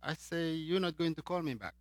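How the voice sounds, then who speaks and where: A man from Haiti with a Haitian accent, preaching a sermon and swinging between loud emphatic lines and quiet asides. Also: a little high, brisk, no echo.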